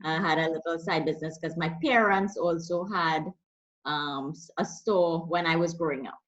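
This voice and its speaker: A woman's voice: deep voice, moderate pace. Friendly, chatty woman guest from Trinidad and Tobago, muffled lo-fi line. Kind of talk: casual interview chat.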